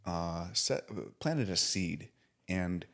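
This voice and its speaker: Podcast host, man, 40s, very low voice, earnest and casual. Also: animated, drawn-out words. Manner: earnest, warm praise with casual slang. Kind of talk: casual interview chat.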